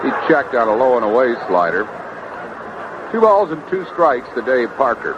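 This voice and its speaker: A man's voice: medium pitch, very animated, normal speed. An American man calling baseball play by play as a live radio announcer. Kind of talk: live radio sports commentary. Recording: dull, very noisy vintage AM radio broadcast.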